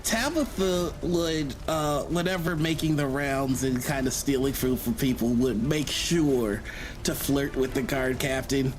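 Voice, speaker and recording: A man's voice: mid-range voice, unhurried, over music, poor audio. A man playing a tabletop game, casually speaking for and narrating his character.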